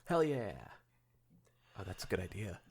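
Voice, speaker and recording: A man with a lowish voice full of gravelly fry co-hosts a podcast. He talks casually and informally, breaking in with commentary and offhand asides. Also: big pitch swings, normal speed, a little mellow. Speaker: podcast co-host.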